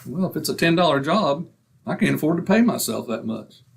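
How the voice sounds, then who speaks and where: A man's voice: on the high side, animated, a little fast, vocal fry, slightly rough, heavily acted. A man acting a stubborn, penny-pinching husband in old-time radio comedy, indignant and folksy in manner. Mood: grumbling, scheming.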